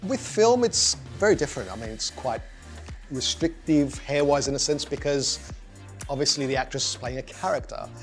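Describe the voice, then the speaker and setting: A man's voice: medium pitch, animated, normal speed, faint music behind. A middle-aged man, a hairstylist, talking enthusiastically with many fillers in a seated interview in a living room. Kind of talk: sit-down interview.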